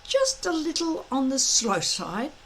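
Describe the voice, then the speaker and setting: A woman's voice: sits high, huge pitch swings, a little slow, a little hiss or hum, voice pushed up, exaggerated voice. A woman reading an audiobook, voicing a timid, dry-witted Viking boy who mutters. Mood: muttering.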